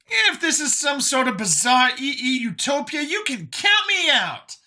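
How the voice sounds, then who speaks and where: A man's voice: extremely high pitch, sing-song, unhurried, sharp tone, voice pushed up, heavily acted. A man voicing a hostile, indignant man in a story, ranting accusingly and emphatically. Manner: agitated, emphatic, foul-mouthed ranting.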